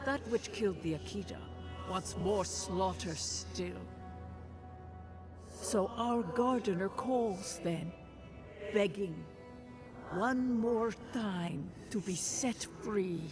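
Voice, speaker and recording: An actor playing an ancient, solemn guardian spirit of a fallen people in a video game, speaking formally and with measured gravity. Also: medium pitch, close to an everyday voice. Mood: grave. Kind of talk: scripted dramatic game narration. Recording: game music behind. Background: clear background music.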